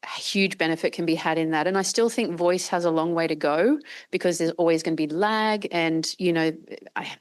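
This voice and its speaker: A woman with a croaky voice, giving a long-winded panel answer full of restarts and fillers. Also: on the low side, fairly even intonation, brisk. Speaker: panelist, company founder.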